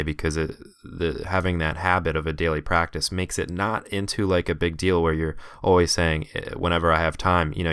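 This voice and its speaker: A man's voice: extremely low pitch, fairly bright tone, clean tone, no room sound, clean studio sound. A man in his thirties hosting a podcast, talking in an earnest, encouraging way. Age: 30s. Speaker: podcast host. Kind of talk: casual podcast interview.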